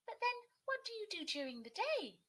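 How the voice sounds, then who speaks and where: A woman voicing a curious, excitable female kingfisher in a children's storybook, with eager, exclaiming questions. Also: extremely high pitch, normal speed, pitched above the performer's own voice, exaggerated voice. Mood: startled, surprised.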